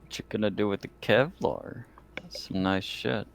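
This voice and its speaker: A man's voice: fairly low pitch, normal speed, creaky. A man chatting casually as a player in a tabletop roleplaying game. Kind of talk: casual chat.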